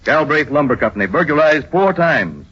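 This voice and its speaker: A stern male police chief speaks curtly and with authority, hard-edged and gravel-rough. It is old radio drama with muffled, narrow sound. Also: on the high side, very animated, moderate pace, bright, forceful tone, heavily acted. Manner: authoritative, curt. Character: stern, commanding big-city police chief. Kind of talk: 1930s radio drama acting. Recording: dull old radio recording.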